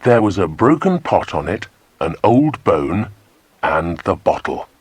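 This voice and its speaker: A man's voice: deep voice, big pitch swings, measured pace, a little mellow, husky, no echo. A man narrating a children's adventure story from a script as an audiobook.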